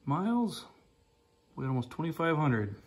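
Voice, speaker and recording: A man's voice: a little high, sing-song, normal speed, slightly rough. A man giving a casual, rambling walkaround of an old snowmobile in his garage, filmed on a handheld phone and often repeating "like I say". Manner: plain-spoken, rambling, repeats "like I say". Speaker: hobbyist restoring an old snowmobile. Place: garage workshop. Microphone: handheld phone camera.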